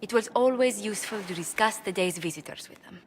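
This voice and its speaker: A woman's voice: medium pitch, brisk, slight background noise, natural voice. A woman voicing a courteous court diplomat. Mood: gracious. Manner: polite, formal. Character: poised, courteous female diplomat.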